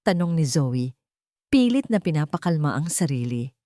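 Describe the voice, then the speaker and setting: Woman, lowish pitch, story narrator, measured, earnest, dramatic scripted reading, dry recording. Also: big pitch swings, dark tone, no room sound. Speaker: narrator of romantic stories.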